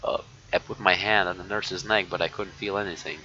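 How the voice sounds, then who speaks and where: A man with a fairly deep voice reads a visual novel aloud on stream. He goes haltingly, with offhand asides. The sound is muffled and has some noise in it. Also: fairly even intonation, pushed and bright. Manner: halting reading broken by muttered asides. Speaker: live streamer. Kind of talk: reading a visual novel aloud with casual reactions. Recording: dull lo-fi mic with noise.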